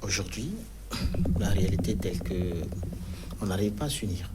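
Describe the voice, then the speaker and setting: A man anchoring a TV news programme, introducing his guest and asking questions in a formal, measured way. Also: on the low side, heavy background noise. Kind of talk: TV news interview. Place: TV news studio.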